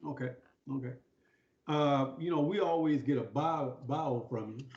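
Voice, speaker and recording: A man's voice: medium pitch, measured pace, a little mellow. A man hosting an informal interview, often repeating words as he asks his questions. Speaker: interview host. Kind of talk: conversational interviewing. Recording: dull, echoey room livestream audio.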